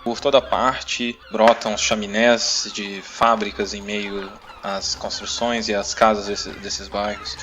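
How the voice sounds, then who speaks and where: A man's voice: fairly low pitch, level tone, slow, hardly any pauses, a bit bright, dull audio, slight background noise. A man with a Brazilian accent, narrating a tabletop roleplaying adventure as game master. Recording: music playing behind the voice. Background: music.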